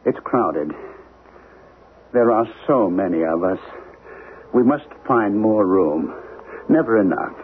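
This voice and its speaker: Through a narrow, phone-like band with faint hiss comes a haunted husband in a seventies radio play. He is earnest and obsessed, pressing urgently and then hanging on dramatic pauses as if gripped by dread. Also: lowish voice, expressive. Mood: haunted, dreamy. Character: obsessed, frightened husband convinced of alien invasion. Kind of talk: 1970s radio drama acting. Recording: noisy, band-limited 1970s radio broadcast.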